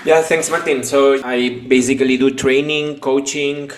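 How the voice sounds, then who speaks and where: A man hosting a live webinar over a video call, his speech halting and full of restarts and a questioning "no?". His voice sits at a middle pitch and carries an echo from the room he is in. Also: level tone. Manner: halting, frequent restarts and tag "no?". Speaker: webinar host and business coach. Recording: echoey room.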